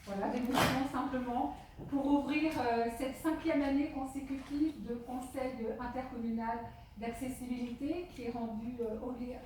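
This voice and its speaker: A woman giving an opening speech at a public meeting as its chair, speaking slowly and haltingly with many pauses. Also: sits high, fairly even intonation. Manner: deliberately slow, halting delivery with many pauses. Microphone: handheld portable microphone. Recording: echoey hall, distant microphone, faint noise.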